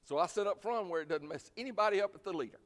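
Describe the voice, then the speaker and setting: A man preaching a sermon in a folksy, plainspoken, emphatic way, with a loud voice. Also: high voice, rapid-fire. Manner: folksy, plainspoken, emphatic, swinging from quiet asides to loud exhortation. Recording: reverberant hall.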